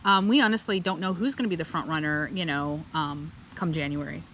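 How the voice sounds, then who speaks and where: A woman's voice: low voice, brisk, fairly bright tone, a little hiss or hum, low-quality recording. A woman co-hosting a podcast, talking through politics casually with many fillers and restarts. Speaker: podcast co-host.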